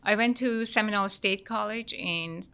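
A middle-aged woman from Iran, an interior designer talking through her career as a podcast guest, relaxed and full of fillers. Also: mid-range voice, moderate pace, smooth. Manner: relaxed, many fillers and restarts. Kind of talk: conversational interview answers.

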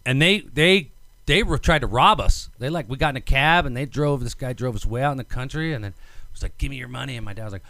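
A man's voice: medium pitch, quick pace, bright, forceful tone, dry recording. A man hosting a casual podcast chat from a studio, joking and telling stories with lots of laughter and put-on voices. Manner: jokey storyteller, acts out voices with big laughs. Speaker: podcast host. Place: small studio.

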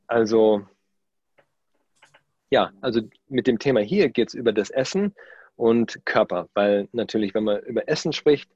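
A man who was born in Germany and grew up in Australia, speaking somewhat halting German with a background, full of fillers and restarts, as a guest in a casual interview. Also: fairly low pitch, a little mellow, husky, dry recording, muffled recording. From Germany, raised in Australia.

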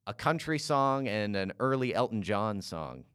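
Low smooth-voiced male interviewer. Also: very animated, a bit bright, no echo, clean studio sound. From Canada. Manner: halting questions with many "uh"s and restarts. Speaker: podcast host.

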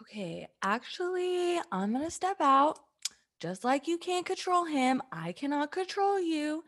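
A woman's voice: high-pitched, expressive, measured pace, fairly bright tone. A woman playing a blunt, exasperated, foul-mouthed young friend in a comedic radio play, with modern slang and sassy emphasis. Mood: firm, blunt.

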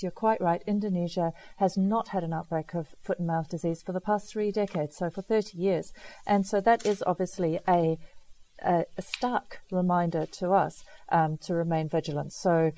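A woman with a South African accent, a chief veterinary officer answering interview questions in a measured, explanatory way. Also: on the low side, warm tone, poor audio.

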